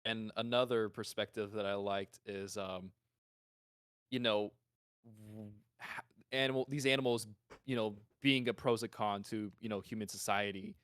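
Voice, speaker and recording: A man co-hosting a podcast, talking casually and informally in an animated way. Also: fairly low pitch, moderate pace, clean tone, no room sound, studio quality. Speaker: podcast co-host.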